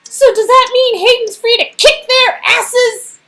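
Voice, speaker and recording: A woman's voice: very high voice, steady tone, measured pace, pushed and bright, soft background music. A woman performing game dialogue in an amateur acted reading, with some noise behind her. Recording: noisy, ambience behind.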